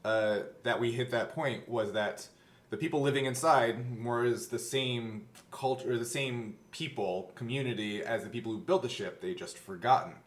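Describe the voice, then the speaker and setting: A man with a mid-pitched voice in relaxed behind-the-scenes conversation. He tells things like an animated storyteller, slipping into quoted reactions as he explains his thinking as game master. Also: normal speed. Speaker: game master recalling a show's first season. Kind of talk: casual behind-the-scenes chat.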